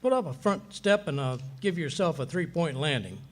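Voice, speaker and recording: A man's voice: on the high side, lively intonation, moderate pace. A man playing a stubborn, boastful, bumbling middle-aged husband in 1940s radio comedy style, with folksy, slangy grumbling. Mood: wisecracking. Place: live performance of a radio play. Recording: hissy, noisy live recording.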